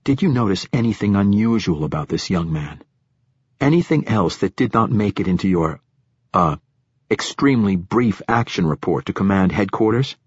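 A stern priest-captain, acted by a male audiobook reader, interrogates in a fairly low, husky voice. He is curt and insistent, with dry sarcasm, in a very dry recording. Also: animated, normal speed, no echo. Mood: probing, sarcastic. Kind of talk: audiobook character dialogue.

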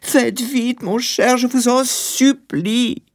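A man voicing an anxious, wealthy older wife in a storybook, with shrill, imploring, frantically polite speech. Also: steady tone, takes it slowly, full character voice. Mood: urgent pleading.

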